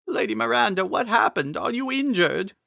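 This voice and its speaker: An old woman attendant from a fantasy storybook, performed by a man, loyal and anxious. She speaks urgently and solemnly in a raised, bright voice. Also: high-pitched, animated, moderate pace, creaky, no room sound, studio quality, pitched above the performer's own voice, exaggerated voice. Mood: alarmed. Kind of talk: dramatic fantasy storybook reading.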